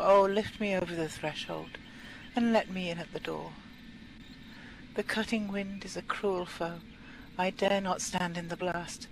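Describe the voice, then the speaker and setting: Slow, dramatic woman reciting poetry. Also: on the low side, a little hiss or hum, rough recording. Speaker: poetry reader.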